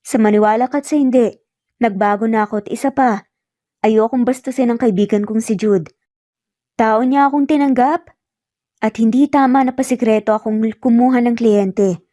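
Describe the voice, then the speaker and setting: A woman plays an earnest young man in love from a romance audio story. He is gentle, teasing and sincere, mixing in English. The voice is mellow and dry. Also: a little high, moderate pace, pauses often, no room sound, close to an everyday voice. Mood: calm explaining.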